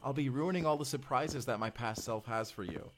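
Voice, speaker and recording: A man's voice: medium pitch, brisk, a bit bright, a little hiss or hum, natural voice. Man acting as earnest young time traveler. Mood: tender, reassuring. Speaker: voice actor in an audio roleplay. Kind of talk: first-person audio drama dialogue, spoken to the listener.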